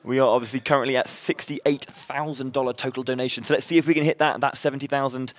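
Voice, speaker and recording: A man hosting a live charity gaming marathon, loud-voiced and upbeat, chatting between runs with many fillers and restarts. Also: mid-range voice, steady tone, fast talker, dry recording.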